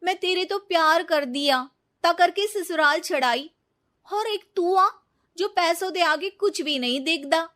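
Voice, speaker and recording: A woman's voice: very high-pitched, measured pace, sharp tone, voice pushed up, heavily acted. A woman voicing a headstrong, scheming young bride with a Punjabi accent, emphatic and defiant, in a story. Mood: indignant.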